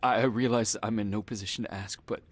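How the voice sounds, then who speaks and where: Man playing a husky, hesitant, guilt-ridden family man. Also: fairly low pitch, fairly even intonation, fast talker, natural voice. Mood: pleading. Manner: hesitant, stammering, pleading. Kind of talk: video game cutscene acting.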